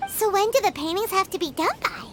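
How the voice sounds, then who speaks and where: A woman voicing a tiny, excitable fairy girl companion in a video game, with a squeaky, childlike voice. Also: fairly quick, slight background noise. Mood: curious. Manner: chirpy and excitable. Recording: game audio with loud score.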